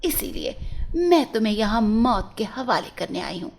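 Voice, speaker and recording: A woman's voice: on the high side, big pitch swings, moderate pace, vocal fry, full character voice. A woman voicing a jealous, cold, scheming princess in a storybook tale. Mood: gloating, menacing. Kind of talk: storybook character voice.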